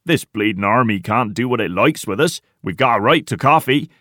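A man voicing a rough, bloodthirsty, grumbling soldier in a war novel, coarse and loud. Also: on the low side, very animated, measured pace, no room sound, studio quality. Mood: aggrieved.

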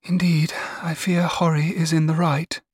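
A man voicing a gentle, resigned young lady in a period novel, with a faint, sighing delivery. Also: fairly high pitch, level tone, measured pace, husky, no room sound, pitched above the performer's own voice. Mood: sad. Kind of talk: dramatised period novel narration.

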